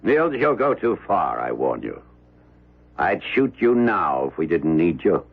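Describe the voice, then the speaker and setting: An actor playing a wealthy, short-tempered old miser who barks orders in a gravelly voice, in a radio drama. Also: male voice, deep voice, big pitch swings, a little slow, exaggerated voice. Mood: angry, threatening. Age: older. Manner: blustering, barking orders. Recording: dull vintage radio recording.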